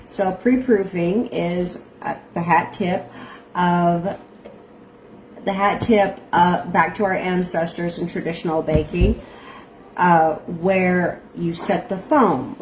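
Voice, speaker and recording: A woman's voice: lowish voice, a little mellow, slight background noise, rough recording. A middle-aged woman with a strong accent chatting casually on a livestream, giving bread-baking tips and greeting viewers by name. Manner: says she has a strong accent.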